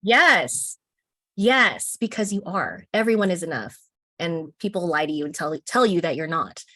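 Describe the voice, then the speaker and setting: A woman's voice: lowish voice, lively intonation, normal speed, sharp tone, dry recording, clean studio sound. An American woman talking as a guest in an interview, earnest and encouraging, laughing often as she speaks. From United States. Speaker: interview guest, contributing author. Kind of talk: casual interview conversation.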